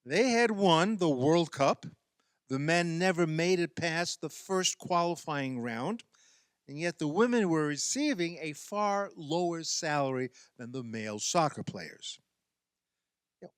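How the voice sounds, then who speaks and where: A man giving a sermon as a minister, measured and using rhetorical questions. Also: fairly high pitch, sing-song, no room sound, studio quality.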